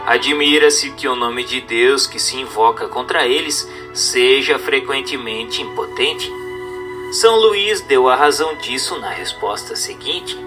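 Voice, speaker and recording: A man with a Brazilian accent reads a religious text aloud as a narrator, in a formal, measured, didactic manner over music. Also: medium pitch, animated. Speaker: narrator reading a book aloud. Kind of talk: scripted audiobook-style narration of a religious text.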